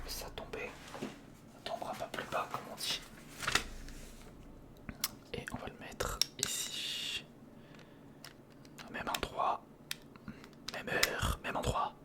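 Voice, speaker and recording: An ASMR creator whispering informal, step-by-step commentary to the viewer while building something by hand, close to the microphone. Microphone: close recorder or studio microphone.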